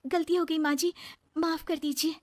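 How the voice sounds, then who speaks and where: High, submissive young daughter-in-law, voiced by a woman. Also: little pitch movement, normal speed, a little mellow. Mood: apologetic.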